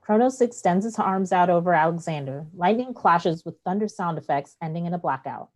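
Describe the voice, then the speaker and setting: A woman's voice: lowish voice, expressive, fairly quick. A woman reading stage directions as the narrator of a play, in scripted narration.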